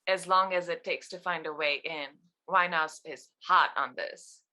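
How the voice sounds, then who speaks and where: A woman playing a wry, unflappable congressional assistant with a dry, quick-witted manner. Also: deep voice, bright, forceful tone. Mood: wry, confident.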